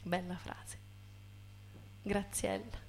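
Slow-talking woman introducing a guest. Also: on the low side, steady tone, a little mellow. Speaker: performer hosting the evening. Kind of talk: informal stage introduction.

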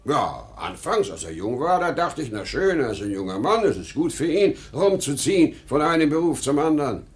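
Stubborn weary older salesman dad, pitch jumps all over, irritable then brooding, old 1950s german radio play. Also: very animated, moderate pace. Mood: indignant, scornful. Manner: volatile, swinging between indignant outbursts and brooding murmurs.

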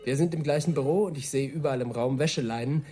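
An actor in a radio play voicing an eager male interviewer who describes the scene on the spot in a lively, observational way. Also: medium pitch, quick pace, soft background music, natural voice. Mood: amused, curious. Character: eager on-the-spot interviewer narrating what is seen. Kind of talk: radio play, taped reportage commentary. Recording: loud cheering crowd noise. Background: cheering office crowd.

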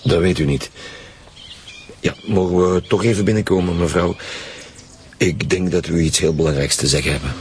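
A man with a Flemish accent playing a gruff, teasing homicide commissioner in a colloquial radio drama. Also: low voice, moderate pace, a little hiss or hum, natural voice. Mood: formal. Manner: colloquial, wry. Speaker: police commissioner.

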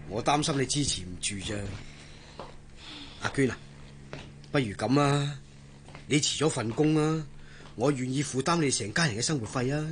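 An actor playing an earnest, devoted young sailor in a radio drama, speaking colloquially and solicitously with a Hong Kong Cantonese accent. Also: male voice, mid-range voice, a little hiss or hum, close to an everyday voice. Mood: concerned, hopeful.

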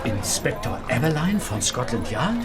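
An actor playing a dutiful, blunt Victorian street constable in a radio play, reporting formally. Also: male voice, mid-range voice, very animated, normal speed, over music, natural voice. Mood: dutiful, shaken. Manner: formal reporting, deferential to superiors. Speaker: actor in an audio drama. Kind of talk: German radio play acting.

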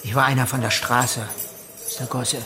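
An actor playing a bitter male cult leader in a radio drama, speaking in a subdued monotone. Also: medium pitch, a little slow, over music, close to an everyday voice. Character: bitter male cult leader recalling a neglected street childhood.

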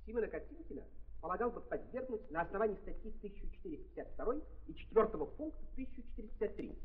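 An actor playing an officious public prosecutor who rattles off legal language in a 1930s audio drama. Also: male voice, high-pitched, very animated. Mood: brisk, officious. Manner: rapid-fire recitation of legal articles. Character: brisk public prosecutor demanding punishment by statute. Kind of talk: 1930s Russian radio drama acting. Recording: tinny 1930s archive recording.

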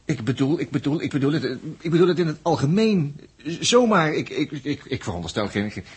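A man with a Netherlands Dutch accent playing a sly, needling, flirtatious space crewman in a 1960s radio drama, speaking haltingly and repeating words. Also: on the high side, sing-song, husky, full character voice. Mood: flustered, defensive. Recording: muffled 1960s radio recording.

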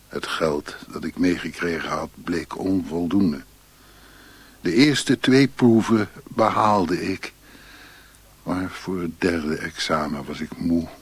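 A man playing a weary failed Chinese scholar who recounts his life in a radio drama monologue, measured and husky. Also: sits low, fairly bright tone, dry recording, exaggerated voice.

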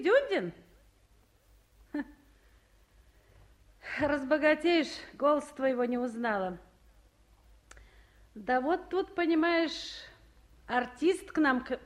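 An actress playing a practical, good-natured town council official in a radio play, with a folksy, conciliatory manner. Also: female voice, sits high, expressive, slow, pauses often. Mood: brisk, familiar.

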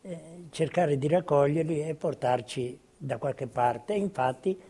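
Old guy chatting about his memories, mellow dark tone, kinda high for a man. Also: takes it slowly, slightly rough. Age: 70s or older. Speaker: witness giving video testimony. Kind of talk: informal personal reminiscence.

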